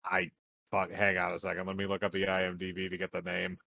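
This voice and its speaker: A man's voice: low-pitched, monotone, quick pace, creaky, sharp tone. A man chatting casually over a game who laughs easily and keeps asking for a second while he looks things up. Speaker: let's play co-host. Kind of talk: casual gaming chat.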